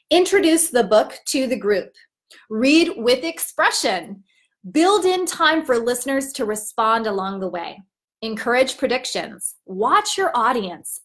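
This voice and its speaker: A woman giving an instructional presentation on reading aloud, speaking in an expressive, teacherly way with careful enunciation. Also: on the high side. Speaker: teacher trainer. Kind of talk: instructional presentation with modeled storybook read-aloud.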